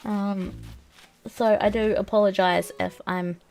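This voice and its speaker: A woman's voice: medium pitch, steady tone, slow, vocal fry, a little mellow, faint music behind. A young woman, chatting casually on a live stream while she plays a game. She rambles, with lots of ums and likes, and sounds a bit sniffly from a cold. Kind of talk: casual chat while playing a video game.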